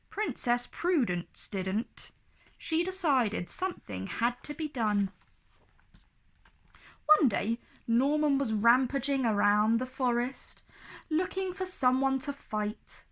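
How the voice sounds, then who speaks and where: A woman storyteller reading a children's book aloud in an expressive, deliberate and dramatic narrating style. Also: on the high side.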